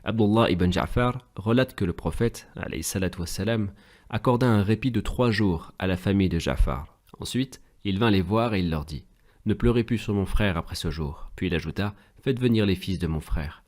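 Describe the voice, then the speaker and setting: A man voicing a gentle, pious father patiently teaching his children in a French cartoon, measured and didactic. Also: sits low. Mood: earnest teaching.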